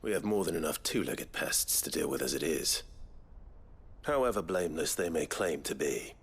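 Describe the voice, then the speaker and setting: Low-voiced brooding male game hero. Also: expressive, husky, exaggerated voice. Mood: dry, wary. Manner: brooding, dry, measured. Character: grim, weary male leader haunted by power. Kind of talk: dramatic video game cutscene acting.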